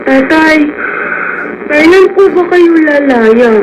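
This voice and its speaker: A woman's voice: high voice, slow. A woman playing an earnest, devoted teenage daughter in a Filipino radio drama, pleading and respectful. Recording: noisy, hazy radio broadcast.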